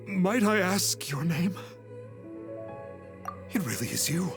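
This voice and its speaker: An actor playing a pompous, cowardly fraud exorcist in an anime-style video game, with an affected, melodramatic delivery. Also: male voice, sits high, animated, takes it slowly, exaggerated voice. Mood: awestruck. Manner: affected, pompous, calls himself "one", melodramatic. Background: music.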